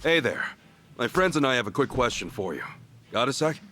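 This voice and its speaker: An actor voicing a brash, loyal, hot-blooded hero in an action video game, talking bluntly and casually. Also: male voice, medium pitch, very animated, fairly quick, bright, forceful tone, faint music behind.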